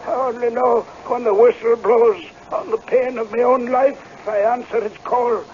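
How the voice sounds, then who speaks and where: An actor playing a blunt, loyal, fearful old Scottish castle servant in a radio drama. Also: male voice, very high-pitched, steady tone, normal speed, darkish tone. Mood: terrified awe. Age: older. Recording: dull, noisy old radio recording.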